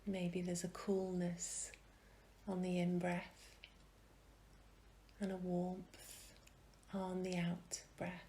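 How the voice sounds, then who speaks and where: A woman leading a guided meditation in a gentle, soothing way, with soft phrases that trail into a whisper and long pauses. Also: lowish voice, monotone, a little hiss or hum.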